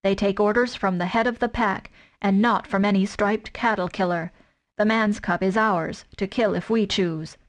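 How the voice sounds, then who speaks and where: A woman voicing a grave, measured male wolf father in a storybook reading. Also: mid-range voice, steady tone, natural voice. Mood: firm.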